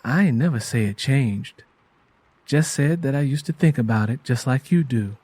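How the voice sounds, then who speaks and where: A man's voice: medium pitch, moderate pace, a little mellow, no room sound, lightly acted. A man voicing a stern, weary rural mother with blunt dialect speech in an audio drama. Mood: confiding.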